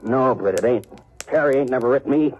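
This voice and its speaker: A man's voice: medium pitch, fairly quick, a little mellow, slightly rough, natural voice. A man playing a plain, unschooled trail hand with a rustic drawl and a stubborn streak in a radio western. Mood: grimly insistent. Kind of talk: 1950s radio western acting.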